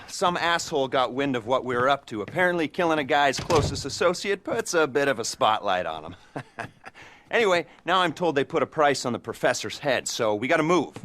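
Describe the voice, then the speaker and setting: An awkward, wry crime planner. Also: male voice, on the high side, fairly bright tone, a little hiss or hum. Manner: awkward, self-mocking, laughs nervously. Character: nervous, sardonic male criminal mastermind. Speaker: heist planner briefing a crew. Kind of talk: video game cutscene dialogue.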